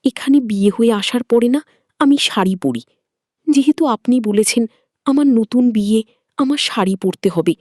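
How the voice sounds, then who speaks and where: A woman's voice: fairly high pitch, normal speed, a little mellow, no room sound, clean studio sound, natural voice. A woman voicing a shy, deferential young daughter-in-law in a story, pleading and repeating herself. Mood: shy, explaining. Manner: apologetic, pleading, repetitive.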